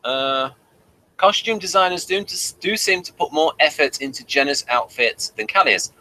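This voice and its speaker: A man with a bright, forward voice hosts a casual review. He reads out notes and comments on them with dry, wry humour. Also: on the high side, normal speed, poor audio. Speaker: review show host. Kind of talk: casual review chat, partly reading aloud.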